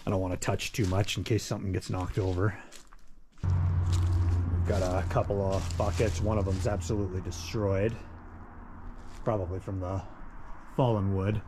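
A man's voice: sits low, normal speed, pauses often, noisy background. Chatty man vlogging outdoors. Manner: relaxed, chatty, jokey. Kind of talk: casual exploring vlog chat. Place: outdoors at an abandoned building, in woods.

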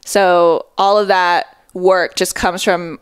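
A woman's voice: lowish voice, flat delivery, takes it slowly, clear, resonant tone, no room sound. A young woman in her twenties, a film editor, talking casually in a podcast interview on a headset mic, with plenty of "like" and "um" and a dry sense of humor. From born in Japan, raised in Connecticut, China, Hawaii. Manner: laid-back, many "like" and "um" fillers, dry jokes. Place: small dining room, indoors.

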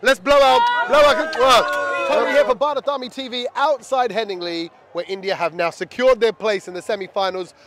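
A middle-aged man working as a fan-channel reporter, chatting in an animated way as he interviews sports fans on a handheld microphone outdoors. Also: very high-pitched, normal speed, very noisy. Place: outdoors in a busy street outside a stadium.

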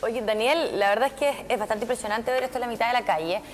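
Fast-talking studio anchor woman. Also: fairly high pitch, soft background music. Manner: quick, emphatic commentary.

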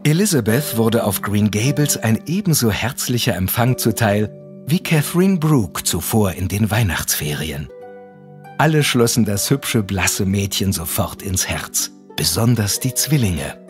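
A man narrating an audio drama as a measured storyteller. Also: fairly low pitch, very animated. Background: music with harp.

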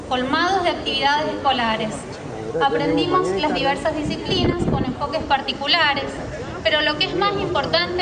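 A woman in her early forties with an Argentine accent delivers a measured, reflective speech from notes at an outdoor podium. Her high voice comes through a public address system, caught from far back in the audience with loud reverberation. A large crowd murmurs close around the camera. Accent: Argentine Spanish. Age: early 40s. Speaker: alumni speaker. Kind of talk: formal commemorative speech read aloud. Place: outdoor school courtyard ceremony. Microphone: podium microphone filmed from a distant camera in the crowd.

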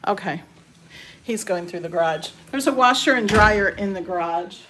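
A woman's voice: medium pitch, normal speed. A woman chatting informally as she hosts a casual house tour, recorded on a handheld phone. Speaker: host giving a house tour. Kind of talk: casual walkthrough chat. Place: rooms of a small house, then porch and driveway. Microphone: handheld phone, operator behind camera. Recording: noisy handheld recording on the move.

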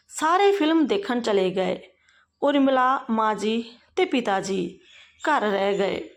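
High-voiced woman storyteller. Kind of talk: scripted narration of an emotional family story.

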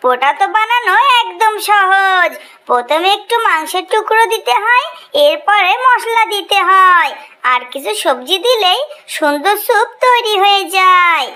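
A little female tailorbird from a children's cartoon, played by a voice actor, with an extremely high, bright voice. She is trusting by nature but readily indignant. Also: expressive, measured pace, exaggerated voice. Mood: helpful.

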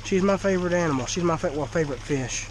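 A man's voice: on the high side, fast talker, background noise. A congested man, chatting casually while filming his fish tank in a dim cinder-block shed. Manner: folksy, chatty. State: congested, talking through a blocked nose. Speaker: fish-keeping vlogger. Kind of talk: casual vlog to camera. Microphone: action camera worn by the speaker.

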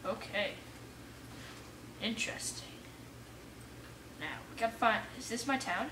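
Female let's player, fairly high and excitable, casual self-talk, echoey room with faint noise. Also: measured pace. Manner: excitable, exclaiming and muttering to self. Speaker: gamer playing an adventure map for viewers. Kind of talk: casual let's play commentary. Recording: roomy echo, distant mic, faint noise.

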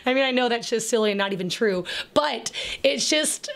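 Raspy-voiced woman chatting casually. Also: on the high side, lively intonation, a little fast, fairly bright tone. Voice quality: raspy, crackly voice. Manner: chatty, rambling, with frequent fillers and laughs. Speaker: homemaking vlogger. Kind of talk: casual voice-over chat.

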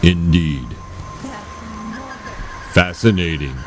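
A man playing a logical, unemotional starship science officer, formal and deadpan, in a sci-fi comedy audio drama. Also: low-pitched, extremely slow. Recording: music bed behind.

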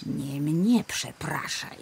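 A woman voicing a stern, motherly female bear in a children's radio play, speaking slowly with a gravelly tone. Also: sits low, expressive, exaggerated voice. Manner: slow, scolding then tender. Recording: noisy background.

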